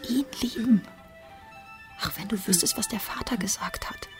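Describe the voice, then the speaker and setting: An actress playing a gentle, earnest young daughter in a radio drama, soft and often half-whispering. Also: female voice, medium pitch, normal speed, soft background music, lightly acted. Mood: tender. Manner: soft, tender, curious.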